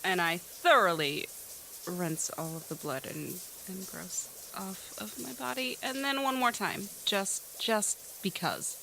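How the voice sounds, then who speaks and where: A woman's voice: medium pitch, slow pace, creaky, bright, forceful tone, a little hiss or hum, poor audio. A woman improvising as a player on a tabletop game podcast, hesitant and trailing off as she narrates and speaks in character.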